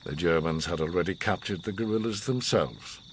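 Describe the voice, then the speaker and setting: An actor playing an older, worldly and enigmatic mastermind in a radio drama, speaking in a theatrical, measured, formal and philosophical way with a husky voice. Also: male voice, low-pitched, a little hiss or hum. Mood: grave, reminiscing. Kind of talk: radio drama acting, storytelling monologue.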